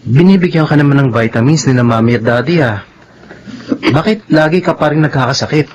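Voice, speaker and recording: A man's voice: mid-range voice, fairly quick, slight background noise, lightly acted. A man playing an earnest Filipino older brother, dull recording. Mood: concerned, apologetic. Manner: earnest, gentle. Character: caring, protective young older brother, respectful son. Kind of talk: Filipino radio drama acting. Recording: dull lo-fi radio drama track.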